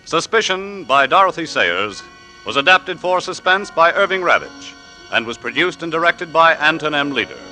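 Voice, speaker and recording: A man announcing in theatrical 1940s radio style, with dramatic, deliberate emphasis and suspenseful pauses. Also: a little high, very animated, pushed and bright, rough recording. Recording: vintage 1940s radio recording with music.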